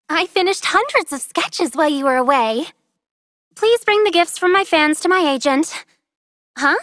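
Bubbly young artist heroine, video game character, very high voice, unhurried, coy and teasing. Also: female voice, a bit bright, no echo, studio quality, full character voice.

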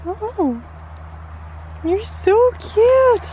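A woman's voice: very high voice, sing-song, very slow, mellow, slight background noise, rough recording. Young woman cooing at farm animals. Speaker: vlogger. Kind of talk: casual vlog chatter. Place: outdoors on a farm.

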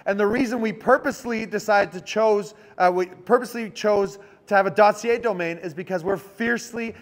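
A man from Canada, co-owner of a clothing company, giving an upbeat, salesy pitch in a loud, booming voice. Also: fairly quick. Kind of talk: promotional pitch and panel talk. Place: event hall.

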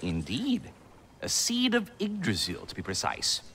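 A man's voice: mid-range voice, wildly swooping pitch, measured pace, sharp tone, slight background noise, exaggerated voice. A man voicing a chatty, genteel talking squirrel in a video game, florid and overly courteous. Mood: chatty, explanatory. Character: chatty, genteel, theatrical talking squirrel who tends a tree. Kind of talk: video game character acting.

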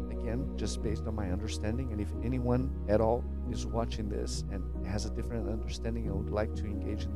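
A man in his forties, born and raised in Brazil, giving an earnest, searching monologue to camera in his home studio on a stand mic, often restarting and checking in with "right?". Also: mid-range voice. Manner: earnest, searching, many restarts and repeated "right?", gestures a lot. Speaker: spiritual vlogger. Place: home studio with guitars and purple lights. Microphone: studio mic on a stand, headphones.

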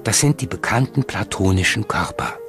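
A man narrating a documentary from a script in a measured, explanatory manner. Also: deep voice, very animated, fairly bright tone, soft background music.

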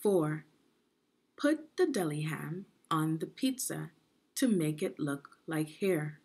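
A woman reading aloud to children as a teacher would, in a guided lesson style with deliberate phrasing. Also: low-pitched, expressive, vocal fry, a little mellow, breathy edge. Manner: deliberate teacherly phrasing, asks the listener questions. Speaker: education consultant reading aloud.

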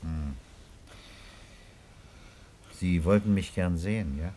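An actor in a radio crime drama playing a calm, persistent police commissioner who questions tersely with thoughtful grunts. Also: male voice, low voice, takes it slowly, a little hiss or hum. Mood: probing.

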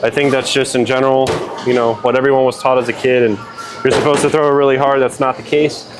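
A young man and bowler talking relaxed through his practice shots and rating them, on a lapel mic in a large indoor bowling alley. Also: mid-range voice, fast talker. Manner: relaxed, rates his own shots plainly. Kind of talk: casual instructional talk to camera. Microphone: clip-on lapel mic. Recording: noisy bowling alley behind. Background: bowling alley noise, clicks.

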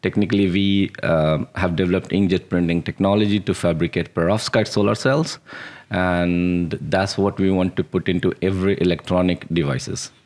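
A man's voice: sits low, steady tone, normal speed, a little mellow. A man in his forties with an Indian accent, a startup founder answering interview questions earnestly in a small studio. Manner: earnest, with restarts and short laughs. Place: small studio room with dark curtains. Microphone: boom-arm podcast mic on the table.

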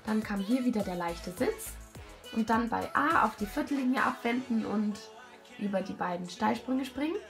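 A hoarse young woman with a cold, talking casually and self-critically over a video of her riding. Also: on the high side, expressive, moderate pace, soft background music. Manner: self-critical, chatty, many fillers. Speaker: rider commenting her own show-jumping test video. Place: at home, voice-over.

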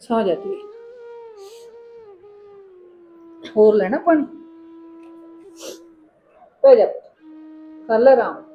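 A woman playing a kindly middle-aged aunty in an emotional drama, coaxing and soothing with gentle insistence. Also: a little high, lively intonation, unhurried, dull audio. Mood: soft, soothing. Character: kindly, motherly older aunty comforting a distressed young woman. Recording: music behind the voice.

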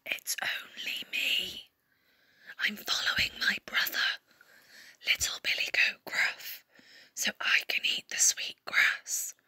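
A woman voicing a meek, middle-sized male billy goat from a children's storybook, soft, quaking and persuasive. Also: fairly high pitch, expressive, slow, slightly rough, no echo, heavily acted. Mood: meek, pleading.

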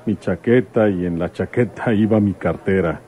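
Actor as deep-voiced, folksy Mexican detective. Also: male voice, normal speed, warm tone, natural voice. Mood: chatty, aggrieved. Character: flirtatious, folksy male rural detective, a father. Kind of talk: Spanish-language radio soap acting.

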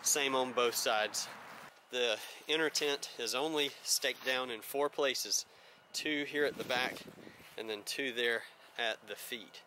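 Bright-voiced American man reviewing gear. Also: medium pitch, slow pace, a little hiss or hum, poor audio. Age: 30s to 40s. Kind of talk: casual product review vlog.

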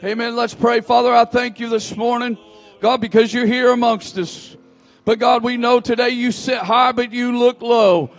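A man leading a fervent church prayer, opening each plea with "God" and rising to shouts, in a large church sanctuary. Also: very high voice, fairly even intonation, moderate pace, vocal fry. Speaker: prayer leader in a church service.

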